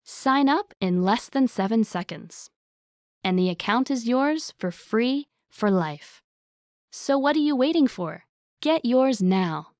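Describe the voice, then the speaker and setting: A woman's voice: a little high, lively intonation, takes it slowly, darkish tone, dry recording, clean studio sound. A woman reading a scripted promotional voice-over in an upbeat, salesy way. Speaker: ad announcer.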